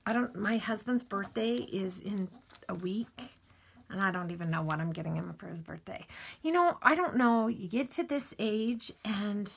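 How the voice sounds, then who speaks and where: A middle-aged woman with a hoarse morning voice, chatting casually while demonstrating a craft project. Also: mid-range voice, a bit bright. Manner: chatty, rambling asides while working. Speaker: crafting tutorial host.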